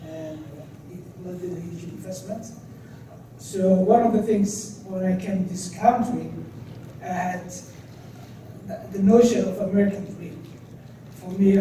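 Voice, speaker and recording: A man's voice: high-pitched, mellow. A man from Somalia, a restaurant owner, giving earnest off-the-cuff remarks at a trade summit, with many fillers and restarts. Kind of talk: impromptu conference remarks. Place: large conference hall. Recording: echoey hall, distant mic, faint noise.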